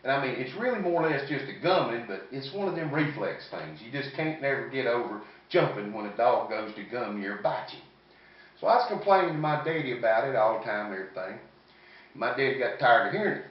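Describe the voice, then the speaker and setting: A man's voice: mid-range voice, expressive, brisk. Echoey, distant Southern man telling folksy stories. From American South. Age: 50s. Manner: folksy, rambling, salty-tongued. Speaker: comedian. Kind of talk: stand-up comedy storytelling. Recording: echoey, distant, muffled room recording.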